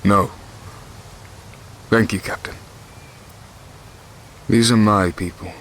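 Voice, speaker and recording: A man's voice: on the low side, steady tone, slow pace, a bit bright, slight background noise, low-quality recording. An actor playing a weary, grieving, commanding king in a fantasy audio drama. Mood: resolute.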